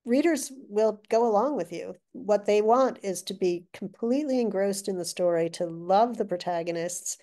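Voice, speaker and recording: An older woman, a guest author, telling stories in a chatty, animated way with restarts, heard over a video call. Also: mid-range voice, moderate pace, few pauses. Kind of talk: casual interview chat. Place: living room with brick wall. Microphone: video call webcam.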